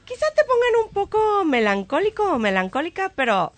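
A woman's voice: very high voice, wildly swooping pitch, a little fast, no echo. A woman from Mexico co-hosting a morning radio show, playful and teasing. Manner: playful, teasing, laughs often. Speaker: radio co-host. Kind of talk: casual morning radio chat.

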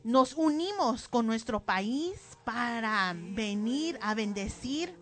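High-voiced woman co-hosting church radio. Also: lively intonation, slow pace, fairly bright tone. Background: music.

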